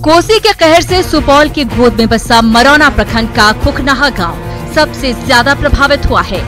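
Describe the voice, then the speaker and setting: A woman reading scripted regional news narration in a formal, steady way. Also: high voice, moderate pace, music in the background. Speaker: news anchor.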